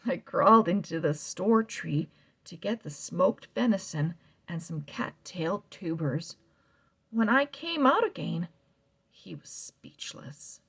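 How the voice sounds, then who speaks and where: Slow, gravelly woman reading a story aloud. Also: on the low side. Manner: slow, expressive storytelling with soft whispery asides. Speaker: read-aloud narrator. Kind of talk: reading a children's novel aloud.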